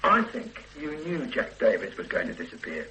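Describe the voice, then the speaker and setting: A man's voice: on the low side, wildly swooping pitch, moderate pace, vocal fry, sharp tone, slight background noise, full character voice, low-quality recording. An actor playing a blunt male police inspector in a radio drama, probing and sardonic, turning threatening when a witness holds back. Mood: pressing. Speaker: police detective questioning a witness. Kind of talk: 1970s-80s radio drama acting.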